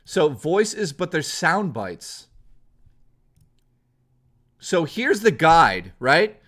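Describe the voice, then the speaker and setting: A young man hosts a live stream. His voice is hoarse from hours of talking, yet it stays bright and forceful, swinging through a lively pitch range as he chats excitably with viewers and swears freely. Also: drawn-out words. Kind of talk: casual live-stream chat.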